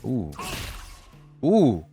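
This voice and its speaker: A man giving casual, slangy, enthusiastic gaming reaction commentary, thinking out loud as he goes. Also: medium pitch, huge pitch swings, drawn-out words. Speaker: reaction video host. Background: game music.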